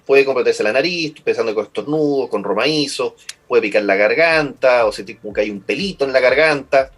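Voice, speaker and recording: A Chilean man speaking as a medical guest, his voice husky and a little breathy. He explains things patiently and often repeats words while he searches for the right phrase. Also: medium pitch, brisk, fairly bright tone. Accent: Chilean Spanish. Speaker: pulmonologist guest. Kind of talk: explanatory interview answers.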